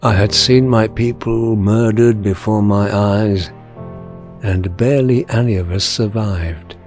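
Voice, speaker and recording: A man acting a remorseful elder leader of mutants in an audio drama. His voice is low and unhurried, solemn and formal, with music playing underneath. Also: darkish tone, close to an everyday voice. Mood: sorrowful, solemn. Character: grave, remorseful older male mutant leader.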